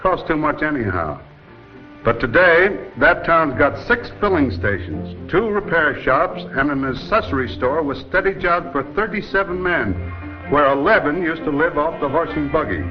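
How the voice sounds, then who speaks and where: A man's voice: fairly high pitch, animated, normal speed, natural voice. An actor playing a folksy, persuasive older American working man, explaining things emphatically in a 1930s educational film. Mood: assured, emphatic. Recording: muffled 1930s film soundtrack, music behind.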